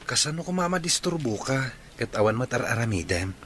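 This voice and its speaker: A man's voice: mid-range voice, big pitch swings, brisk, a bit bright, slight background noise. A man playing an earnest, patient young suitor in an Ilocano radio drama. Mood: pleading.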